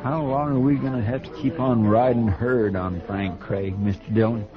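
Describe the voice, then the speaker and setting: An actor playing a simple, deferential deputy sidekick, folksy and easily impressed, in 1950s radio style. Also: male voice, fairly low pitch, fairly even intonation, normal speed, close to an everyday voice. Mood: idly impressed. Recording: muffled old radio recording, music.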